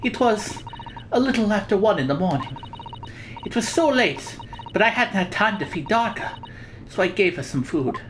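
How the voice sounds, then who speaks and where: A woman voicing an anxious, remorseful young Russian woman in a video game, with a Russian accent and a halting, confessional delivery. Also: medium pitch, lively intonation, background noise, natural voice. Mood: anxious, explaining. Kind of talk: reading game dialogue aloud in character.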